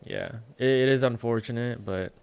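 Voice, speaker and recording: A man's voice: mid-range voice, moderate pace, a little mellow. A man who makes music, a guest on a stream, chatting casually in a laid-back, slangy way. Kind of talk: casual chat.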